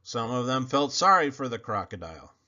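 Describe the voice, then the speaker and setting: A man reading a children's picture book aloud in an expressive, animated storytelling manner. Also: mid-range voice, fairly quick. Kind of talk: children's read-aloud narration. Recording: slightly muffled recording.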